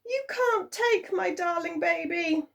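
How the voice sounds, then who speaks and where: A woman's voice: very high voice, slow pace, creaky, fairly bright tone, recorded from a distance, voice pushed up, exaggerated voice. A woman voicing a sweet, anxious young queen in a children's storybook, exclaiming with feeling. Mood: desperate, horrified. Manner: emotional, exclaiming.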